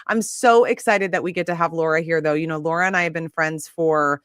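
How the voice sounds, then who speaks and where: A woman's voice: low voice, steady tone, a little fast, fairly bright tone, clean tone, no echo, studio quality. A woman hosting a live skincare stream, chatty and enthusiastic. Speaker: host.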